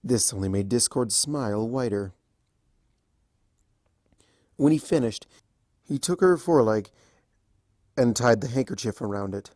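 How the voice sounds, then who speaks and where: An expressive story narrator with a lively, wide-moving pitch. The scripted fiction is read in a close, dry sound with no room on it. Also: a little slow, pauses often, dry recording.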